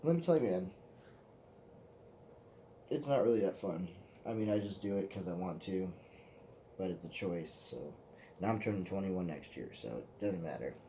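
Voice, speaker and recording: A man's voice: sits low, expressive, moderate pace, long pauses, dark tone, a little hiss or hum. A man in his twenties, hosting his own vlog in a loose, profane, meandering casual chat. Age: 20s. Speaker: vlog host.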